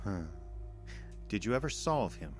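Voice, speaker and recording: An actor playing a cold, deliberate, menacing interrogator in an audio drama. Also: male voice, on the low side, big pitch swings, faint music behind. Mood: probing.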